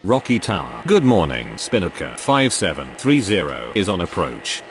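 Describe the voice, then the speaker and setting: Man doing deep airline radio voice for a game, clipped, animated pitch, faint noise. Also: normal speed. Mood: businesslike. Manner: clipped, procedural delivery. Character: adult male airline pilot and air traffic radio voice. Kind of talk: scripted aviation radio phraseology.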